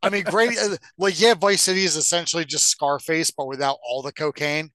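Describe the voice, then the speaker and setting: A man with a very bright, forward voice, pitched a little high, co-hosts a pop-culture podcast. He banters casually and bluntly with plenty of swearing. The sound is close and dry. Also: steady tone, fairly quick, no echo. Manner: opinionated, blunt. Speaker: podcast co-host.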